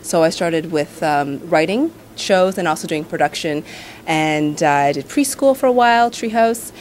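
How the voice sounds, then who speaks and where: A woman in her thirties, a TV host from Nova Scotia, answering interview questions in a relaxed, chatty way full of "you know" and "um". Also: on the low side, moderate pace, a little hiss or hum. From Canada, Nova Scotia.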